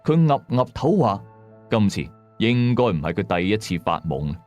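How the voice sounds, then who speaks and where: Low, animated Hong Kong ghost-story narrator, male. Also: moderate pace, soft background music. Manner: suspenseful, colloquial storytelling.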